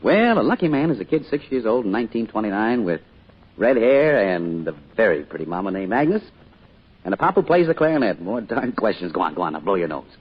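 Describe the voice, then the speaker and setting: A man's voice: on the low side, very animated, brisk. A man playing a loving, wistful small-town father in an old-time radio drama, with a folksy, reflective delivery. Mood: fond. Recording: muffled old radio recording.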